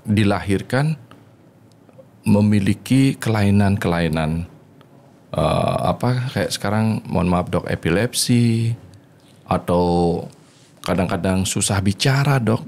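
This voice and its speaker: Low-pitched male podcast interviewer. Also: takes it slowly, gravelly, pauses often, darkish tone. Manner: deferential, often addressing the guest by title. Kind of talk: conversational interview.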